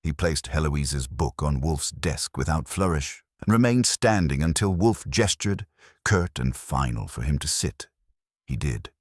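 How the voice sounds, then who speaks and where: A man's voice: a bass voice, animated, measured pace, no echo, studio quality. A man reading a first-person detective story as a scripted audiobook narration.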